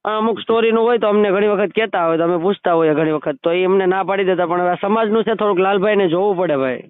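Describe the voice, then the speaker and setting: A man's voice: high voice, fast, clean tone. A man chatting casually on a recorded phone call, often checking that the listener agrees. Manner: repeatedly seeks agreement with tag questions. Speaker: caller.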